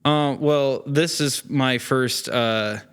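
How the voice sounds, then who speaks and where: A man's voice: mid-range voice, fairly even intonation, slow pace, a bit bright, clear, resonant tone. A man who is a theatre actor, talking casually as an interview guest in a rambling way, often acting out bits of imagined dialogue.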